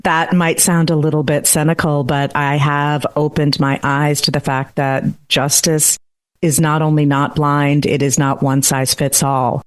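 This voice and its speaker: A woman's voice: low-pitched, little pitch movement, a little slow. A middle-aged woman who hosts a true-crime podcast, answering interview questions earnestly and laughing easily.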